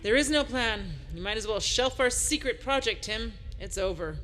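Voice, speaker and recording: A woman's voice: fairly high pitch, expressive, moderate pace, a bit bright, faint music behind. A woman playing a brash, commanding space pirate captain in an audio drama, dramatic and daring. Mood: grim, resigned.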